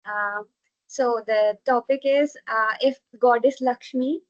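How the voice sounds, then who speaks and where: An earnest woman speaks steadily over a video call, giving an impromptu speech. Her voice is somewhat high. The call audio sounds dull and lo-fi. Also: fairly even intonation, slow pace.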